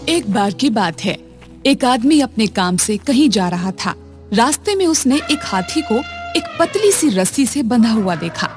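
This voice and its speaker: A woman's voice: on the high side, very animated, measured pace, a little mellow, soft background music. Woman narrating children's moral stories. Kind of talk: scripted children's story narration.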